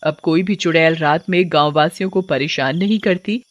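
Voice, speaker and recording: A woman's voice: deep voice, normal speed, muffled recording, slight background noise. Woman narrating a village story.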